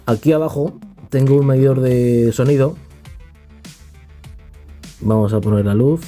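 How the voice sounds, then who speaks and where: A man's voice: mid-range voice, unhurried, dark tone, faint music behind. A man with a Castilian accent from Spain giving a casual, unscripted app review, often tagging phrases with little questioning checks. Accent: Castilian Spanish from Spain. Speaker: tech reviewer.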